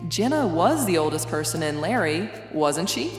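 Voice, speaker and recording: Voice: mid-range voice, very animated, moderate pace, voice pushed up, close to an everyday voice. Casting: narrator to play mild, hesitant friend for audiobook, raised voice in reverb, music behind. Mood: doubtful. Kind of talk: audiobook character dialogue.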